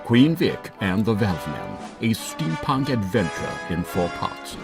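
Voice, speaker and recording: Male title announcer: lowish, gravelly, animated, brass music behind. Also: measured pace.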